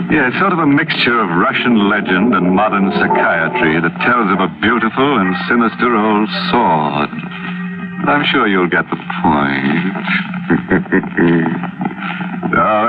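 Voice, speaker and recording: Casting: sly, ghoulish horror-anthology host, deep register, mock-courteous, noisy old radio recording. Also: male voice. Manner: sly, mock-polite, macabre. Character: ghoulish, sardonic male horror-show host cracking puns. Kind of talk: 1940s radio hosting. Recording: scratchy, narrow old radio broadcast.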